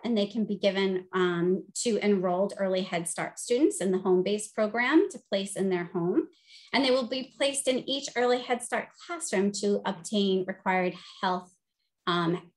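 A middle-aged woman with a high-pitched voice, teaching a webinar over a video call with an upbeat, friendly delivery full of "um"s. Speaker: presenter and teacher. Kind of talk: instructional webinar presentation. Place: home office, video call.

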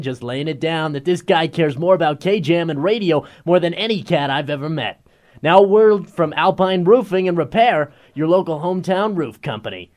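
A man's voice: fairly high pitch, a little mellow, lightly acted. A man playing an upbeat, hip FM radio DJ in a radio drama, with breezy, slangy, showy patter. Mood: chummy, hip. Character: upbeat, hip male late-night FM radio DJ. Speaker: radio DJ co-host.

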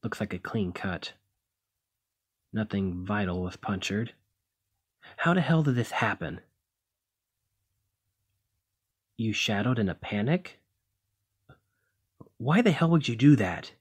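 Casting: male actor as protective demigod hero for ASMR roleplay; deep, rough-edged voice, exasperated yet tender. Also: very animated, measured pace, long pauses, heavily acted. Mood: alarmed, scolding. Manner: exasperated, bossy, caring.

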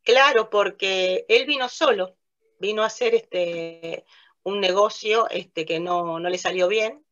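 An older woman from Italy speaks on a video call from a small room. She pauses often, restarts her sentences and laughs between thoughts. The sound is slightly dull and lo-fi, and her pitch sits in the middle. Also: a bit bright. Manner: hesitant, many fillers and restarts, laughs often. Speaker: interview guest, theatre narrator and director. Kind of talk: casual interview, recounting memories. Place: small room with a plain light wall. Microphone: video call, webcam facing her.

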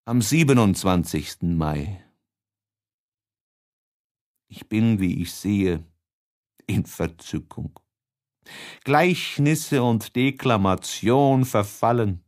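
A man reading literary prose as scripted audiobook narration in an expressive, declamatory manner. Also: on the low side. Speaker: audiobook reader.

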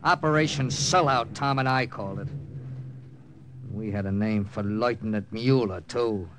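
A man's voice: on the low side, moderate pace, a little hiss or hum, close to an everyday voice. A man acting a tough, grim American intelligence captain who narrates an old radio drama tersely. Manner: terse, hard-boiled. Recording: old radio recording with music behind.

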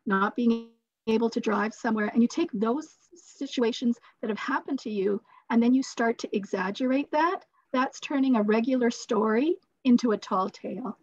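A woman's voice: fairly high pitch, moderate pace, a little mellow, no echo. A woman in her forties giving informal advice as a participant in an online workshop. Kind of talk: informal advice on a video call. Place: plain room, indoors. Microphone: video call mic. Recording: dull, dry video-call audio.

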